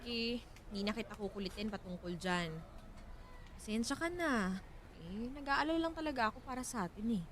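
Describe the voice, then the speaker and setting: Woman acting an anxious, high-voiced young wife. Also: brisk, a bit bright, slight background noise, lightly acted. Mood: pleading worry. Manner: nervous, pleading, hesitant. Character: anxious newlywed wife, timid, worried and pleading. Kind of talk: radio drama acting.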